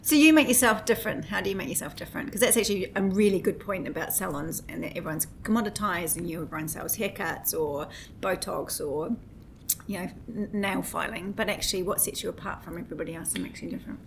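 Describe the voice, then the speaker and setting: Gravelly-voiced New Zealand woman. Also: on the low side, quick pace, a little hiss or hum, low-quality recording. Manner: probing questions, laughs easily. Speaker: podcast co-host. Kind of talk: casual interview chat.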